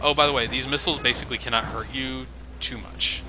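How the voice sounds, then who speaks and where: A man's voice: medium pitch, steady tone, fast, background noise. A man giving casual, sarcastic commentary over a video game, joking about its flaws. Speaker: let's play commentator.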